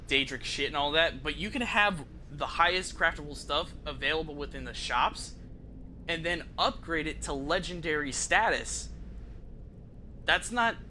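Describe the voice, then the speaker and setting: A man with a very bright, forceful voice that sits a little high gives casual gameplay commentary. He rambles conversationally and swears freely, with faint noise behind him. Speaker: video game streamer.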